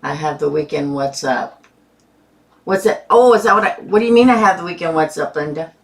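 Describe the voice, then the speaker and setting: A woman's voice: deep voice, animated, fairly quick, creaky. A woman hosting a tarot live stream with a sore throat, chatting casually and greeting viewers by name.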